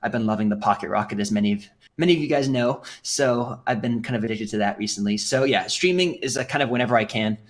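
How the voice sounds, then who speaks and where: A man's voice: medium pitch, fast talker, few pauses. A man in his twenties from England, a podcast guest telling his story casually, rambling with lots of fillers and restarts.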